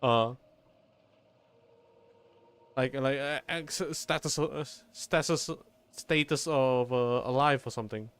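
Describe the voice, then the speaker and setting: A man's voice: mid-range voice, slow pace, long pauses, fairly bright tone, smooth. A man streaming a game, reacting casually with profane, rambling, stop-start comments. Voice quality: rambling, profane, stammering restarts.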